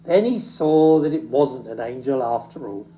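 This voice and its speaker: A storyteller reading to children expressively, with dramatic, drawn-out emphasis. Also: medium pitch, expressive, dark tone. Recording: roomy, distant microphone.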